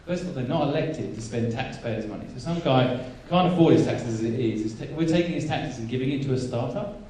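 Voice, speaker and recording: A man's voice: medium pitch, brisk, dark tone, slight background noise. A man speaking bluntly and emphatically as a panelist, often restarting his sentences. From England. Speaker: panelist, angel investor. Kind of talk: panel discussion. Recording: echoey hall, distant mic.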